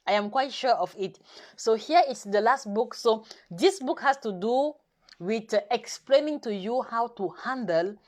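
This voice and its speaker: A woman whose first language is French, hosting a live nutrition session in careful, halting English full of restarts, coaxing her viewers directly. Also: fairly high pitch. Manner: halting, self-correcting English with many restarts and fillers. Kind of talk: live stream chat to viewers.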